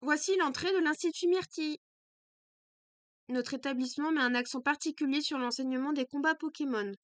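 A woman's voice: high voice, fairly quick, fairly bright tone, no room sound. A woman voicing a prim, strict schoolgirl student with formal, precise diction.

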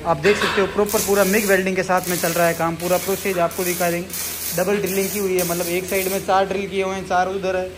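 A man giving an improvised, repetitive promotional pitch for his factory's products in running sales patter. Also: little pitch movement, fast. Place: welding workshop at a factory. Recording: noisy workshop with hiss and machinery.

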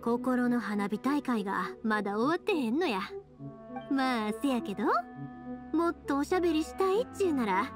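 Woman as high-voiced, cheerful Kansai young woman. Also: lively intonation, normal speed, music in the background, close to an everyday voice. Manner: friendly, earnest, colloquial. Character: cheerful, sincere young woman, a fireworks maker. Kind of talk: Japanese anime-style game voice acting.

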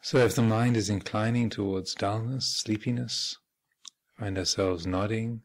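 Low-voiced man guiding a meditation. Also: fairly even intonation. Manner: measured, hesitant pauses, gentle instructional phrasing. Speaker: Buddhist monk and meditation teacher leading a retreat. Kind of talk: guided meditation instruction. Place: meditation hall at a retreat center.

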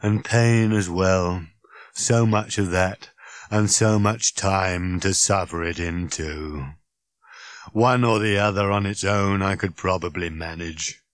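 Hollow, slow, gloomy old robot. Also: male voice, deep voice, no room sound, pitched below the performer's own voice. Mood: despairing misery. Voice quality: hollow, rasping. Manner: slow, groaning, droning complaint. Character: worn, ancient, gloomy, self-pitying male robot of scarred metal.

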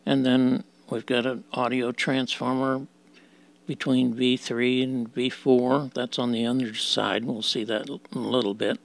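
Male presenter for a hands-on technical demo, unhurried and halting, with a creaky edge. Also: medium pitch, flat delivery. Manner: halting, explanatory delivery in short phrases. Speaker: presenter of a vintage radio demonstration. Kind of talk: informal technical walkthrough.

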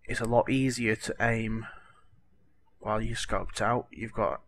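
A man's voice: lowish voice, fairly even intonation, measured pace, fairly bright tone. A man with a cold and a cracking voice, rambling chattily with lots of fillers over game-tutorial footage. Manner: chatty, rambling, apologetic, lots of "you know". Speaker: gaming tutorial host.

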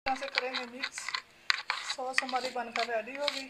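A woman calmly explaining a home recipe step by step while she cooks. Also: high-pitched, normal speed. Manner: calm, instructional, talks while working. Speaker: home cooking channel host. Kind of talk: step-by-step cooking instructions. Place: home kitchen. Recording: noisy home phone recording.

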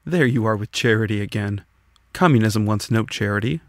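A man's voice: on the low side, fairly quick, dry recording, studio quality, natural voice. A man voicing a sceptical, combative communist who puts probing questions in a scripted audiobook dialogue. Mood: indignant, challenging. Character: sceptical, challenging communist debater.

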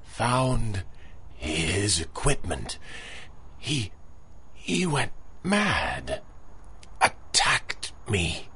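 An actor playing a dying shop owner, gasping weakly in halting, broken phrases in a radio drama. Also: male voice, fairly low pitch, huge pitch swings, pushed and bright, exaggerated voice. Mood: weak, pained. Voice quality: raspy, breathy. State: weak, gasping. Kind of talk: 1930s-style radio drama acting.

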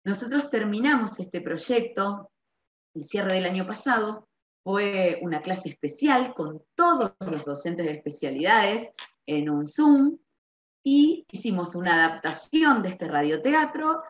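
A middle-aged woman with an Argentine accent from around Rosario, informally explaining a school project as a librarian, with hesitant pauses and stretched words. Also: medium pitch, expressive. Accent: Argentine, Rosario area. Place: small bare-walled room indoors. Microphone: computer microphone on a video call. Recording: dull, echoey webcam audio.

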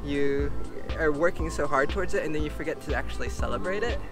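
A man's voice: on the high side, fast talker, music in the background. A young man, a video creator, musing outdoors in casual chat, laughing often and filling with likes and ums. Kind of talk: casual conversation. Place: outdoors in a park.

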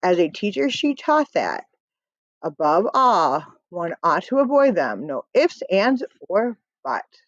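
A woman's voice: medium pitch, no echo. A middle-aged woman reading a children's picture book aloud in a rhythmic, emphatic storytelling manner. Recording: dull lo-fi webcam audio.

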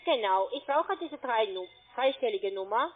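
A woman whose first language is not German, pitching an investment over the phone in halting, broken phrases. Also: expressive. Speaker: scam call center agent. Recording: tinny phone line.

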